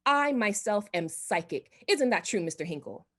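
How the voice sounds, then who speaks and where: A woman's voice: mid-range voice, big pitch swings, normal speed, fairly bright tone. A woman playing a gushing, self-important society hostess and would-be medium in a radio drama, speaking in a grand, theatrical manner. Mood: pompous, theatrical.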